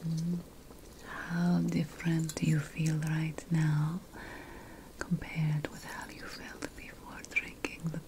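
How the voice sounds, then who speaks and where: A woman playing a calm, ceremonial ancient witch priestess in an ASMR roleplay, mostly whispering in a breathy, soothing and deliberate way. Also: low-pitched, slight background noise, heavily acted. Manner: slow, soothing, deliberate, repeating phrases.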